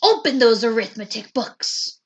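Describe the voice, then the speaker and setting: A woman reading aloud puts on a mean, witchy storybook teacher who snaps out slow, loud commands. The voice sits a touch high and carries a faint hiss of background noise. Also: expressive. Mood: stern. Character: stern nasty female substitute teacher.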